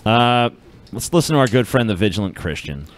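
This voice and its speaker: A man's voice: lowish voice, big pitch swings, brisk. A man co-hosting a podcast in casual chat, sardonic and foul-mouthed. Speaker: podcast co-host.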